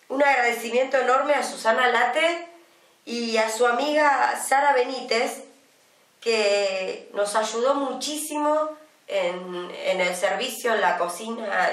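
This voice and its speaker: Argentine lady co-host, fifties, lots of "eh" pauses, roomy studio sound a bit off mic. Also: a little high, recorded from a distance. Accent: Argentine Spanish. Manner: chatty, many drawn-out "eh" pauses. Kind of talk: casual studio chat. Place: small TV studio, indoors. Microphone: desk microphone, some distance away.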